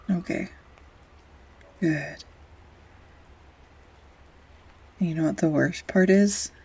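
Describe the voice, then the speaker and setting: A woman playing a grumpy, sarcastic young art student who shyly confesses love in an intimate romantic audio roleplay, halting and wry. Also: deep voice, gravelly, slightly rough, exaggerated voice, rough recording. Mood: nervous, confessional. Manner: halting, wry, flustered, sighs and soft laughs. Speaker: voice actor.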